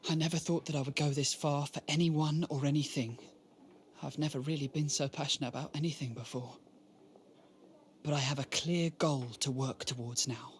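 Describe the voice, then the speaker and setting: An actor playing an ailing, devoted young male scholar, speaking with earnest, formal intensity and a frail, breathy voice in a dramatic game style. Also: fairly high pitch, fairly even intonation, moderate pace, slight background noise, lightly acted. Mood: determined.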